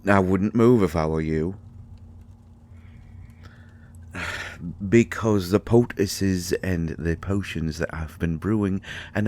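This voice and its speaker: A man acts out a male fairy healer in an intimate roleplay audio. His voice sits very low and he takes his time, hesitating as he goes. He is grumpy and secretive, with a dry, exasperated sarcasm as he tends his patient. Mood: dryly scolding.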